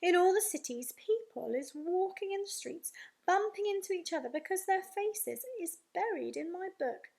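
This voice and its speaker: A woman voicing an excitable, boastful young boy telling his dreams in wide-eyed, ungrammatical speech for a storybook. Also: very high voice, normal speed, gravelly, pitched above the performer's own voice, exaggerated voice. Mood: proud, excited.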